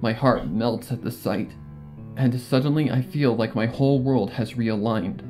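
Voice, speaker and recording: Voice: mid-range voice, a little slow, a little mellow. A narrator reading a story in a dramatic scripted style. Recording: narration over music bed.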